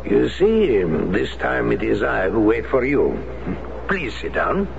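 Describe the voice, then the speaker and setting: An actor playing a cautious, formal former resistance fighter, in 1970s radio drama style. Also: male voice, mid-range voice, huge pitch swings, slow, vocal fry, full character voice. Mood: sympathetic. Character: guarded male French former resistance fighter. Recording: muffled lo-fi radio broadcast with hiss.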